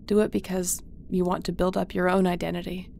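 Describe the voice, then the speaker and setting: A woman playing a caring, loyal spaceship captain in a sci-fi audio roleplay, creaky and conversational, with a tender, reassuring manner. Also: on the low side, flat delivery, fairly quick, a little mellow, slight background noise. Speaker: voice actor.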